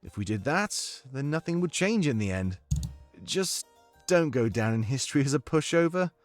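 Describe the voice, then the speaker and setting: Man playing a wary, principled fantasy adviser, mid-pitched. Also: sing-song, normal speed, faint music behind, lightly acted. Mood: probing, firm. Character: thoughtful male fantasy ally, wary, principled adviser to the monarch. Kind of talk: fantasy audio drama acting.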